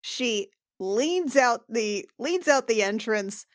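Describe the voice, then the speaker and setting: A woman's voice: a little high, expressive, takes it slowly, no room sound, studio quality. A woman playing a tabletop game, talking informally and hesitantly as she thinks aloud and describes her character's actions. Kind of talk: casual improvised game talk.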